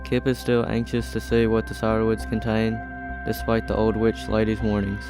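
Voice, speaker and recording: Young guy narrating a spooky story, lowish and pretty flat, faint music behind. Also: moderate pace. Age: teenager or young adult.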